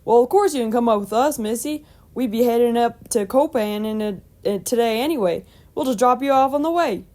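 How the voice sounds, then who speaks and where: A woman's voice: fairly high pitch, a little fast, warm tone, voice pushed up, natural voice. A woman voicing a kindly old male farmer with a folksy, hearty manner and a rural country accent, in a storybook reading.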